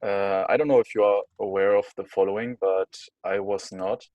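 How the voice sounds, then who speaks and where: A man, a student giving a class presentation, speaking in a measured way with many filler pauses. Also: lowish voice, fairly even intonation, no echo, lo-fi sound.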